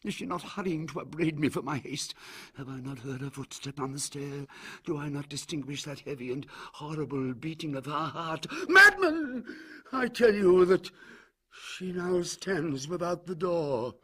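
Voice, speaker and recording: A guilt-ridden nobleman on the edge of madness, as a male reader puts him on, murmuring in a breathy, husky voice that suddenly leaps into shrieks. He sounds hunted and desperate, as though the dread is close at hand. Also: fairly high pitch, big pitch swings, moderate pace, sharp tone, voice pushed up, full character voice. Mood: frenzied. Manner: gibbering, desperate, rising to shrieks. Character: frantic guilt-stricken nobleman, terrified, half-mad.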